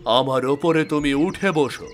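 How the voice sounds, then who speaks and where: A man's voice: fairly high pitch, measured pace, faint music behind, lightly acted. A man voicing a loyal, helpful flying creature in a fairy-tale cartoon, eager and reassuring.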